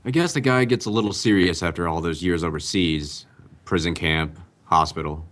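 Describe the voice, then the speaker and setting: A man playing a smooth, easygoing and slightly sly suspected impostor in an old time radio drama. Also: deep voice, normal speed, fairly bright tone. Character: smooth, casual man posing as a returned brother.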